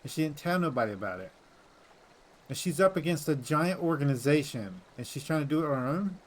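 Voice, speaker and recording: A man's voice: fairly high pitch, lively intonation, a little fast. A man in casual, colloquial gaming talk, making his points insistently with some mild swearing. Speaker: gaming channel host. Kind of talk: casual gameplay chat about story.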